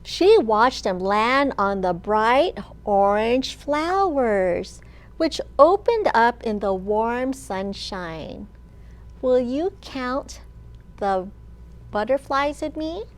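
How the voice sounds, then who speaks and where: A woman children's librarian reading a picture book aloud to young children, sing-song, with questions and pauses for them to answer. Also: high voice. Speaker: children's librarian and storytime host.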